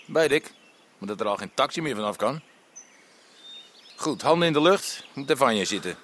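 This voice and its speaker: Guy playing a gangster's sidekick, wry and taunting, normal pitch, faint birds and noise, radio play. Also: animated, moderate pace, a bit bright, natural voice. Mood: mocking. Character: mocking, cautious male gangster henchman. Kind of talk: audio drama acting.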